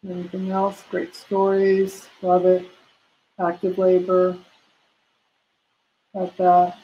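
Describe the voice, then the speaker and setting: Lowish older woman teaching by webcam. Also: completely flat delivery, takes it slowly, dull audio. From United States. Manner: warm, practical, chatty teaching with many fillers. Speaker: prenatal massage instructor. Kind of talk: live online class answering questions. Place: home room. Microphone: laptop webcam on a video call.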